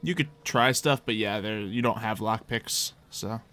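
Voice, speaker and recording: A man's voice: lowish voice, a little slow, sharp tone, soft background music. A man working as game master, narrating a tabletop game in a casual, improvised way.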